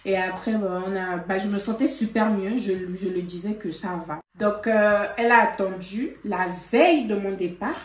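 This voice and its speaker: A woman vlogger telling a story in casual chat, animated and laughing, with lots of fillers. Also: mid-range voice, moderate pace, hardly any pauses. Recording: roomy, from a distance.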